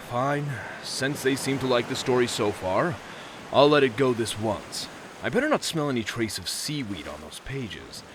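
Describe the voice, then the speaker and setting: A man acts out a proud Viking god prince for a children's story show. His delivery swoops widely, grandiose and mock-stern yet genial, at a medium male pitch, with clear rushing noise behind him. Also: expressive, lightly acted. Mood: grudging. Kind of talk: theatrical children's character acting.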